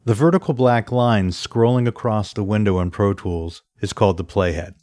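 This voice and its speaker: A man presenting an instructional software screencast in a methodical, step-by-step way. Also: lowish voice, moderate pace, no room sound, studio quality. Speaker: tutorial presenter.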